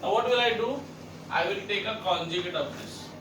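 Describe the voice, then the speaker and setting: A man's voice: high-pitched, lively intonation, normal speed, recorded from a distance. A man teaching a math lesson, walking students through each step with repeated questions. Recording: echoey room, voice set back from the mic, background noise.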